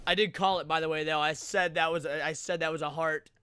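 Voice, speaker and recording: A male streamer with a high, clear voice reacts casually as he watches along with his audience. His talk is slangy and profane, and the sound is dry and close to the mic. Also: steady tone, fast, pushed and bright, no echo. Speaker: livestream host. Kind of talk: casual live reaction commentary to horror series.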